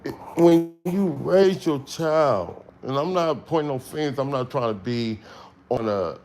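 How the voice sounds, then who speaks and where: A middle-aged man with a strong, carrying voice, speaking slowly and emphatically in a casual livestream monologue with long pauses. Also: medium pitch. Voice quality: voice that carries, strong tone. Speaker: livestream host.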